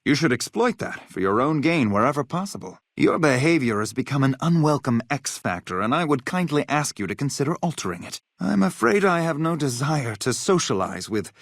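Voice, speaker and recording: A man's voice: fairly high pitch, very animated, fairly quick, creaky, few pauses, no room sound, studio quality, exaggerated voice. A man voicing an arrogant, lazy genius soldier in a video game, pompous and pedantic in manner. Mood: self-satisfied, then coolly disdainful. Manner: pompous, condescending, pedantic wording. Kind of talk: scripted game character dialogue.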